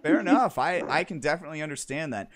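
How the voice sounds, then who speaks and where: A man's voice: on the high side, fast, a bit bright. Upbeat man hosting a game stream. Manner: upbeat, directive, announcer-like. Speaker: stream host. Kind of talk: livestream hosting and interviewing.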